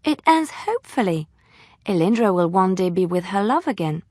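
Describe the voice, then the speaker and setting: A romantic, devout young woman bard from a video game. Her voice sits in a middle register and is recorded dry, without room sound. She phrases things in an expressive, lilting, earnest way and is easily flustered. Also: mid-range voice, normal speed, dry recording. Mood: tender, hopeful. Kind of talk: video game character dialogue and storytelling.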